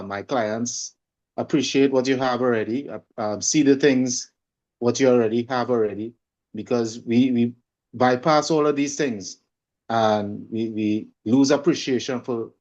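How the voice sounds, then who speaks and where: A man with a Trinidadian accent speaks conversationally and reflectively as an interview guest, often pausing and repeating words. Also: medium pitch, darkish tone, muffled recording. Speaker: guest, singer and holistic coach.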